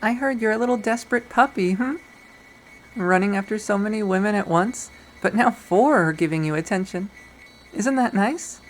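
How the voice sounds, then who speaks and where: A man voicing a dominant woman who teases and controls in a soft, coaxing purr, in a visual novel. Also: high voice, normal speed, a little hiss or hum, voice pushed up, close to an everyday voice, poor audio. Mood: teasing, coaxing. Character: Violet, purring dominant woman, teasing and controlling.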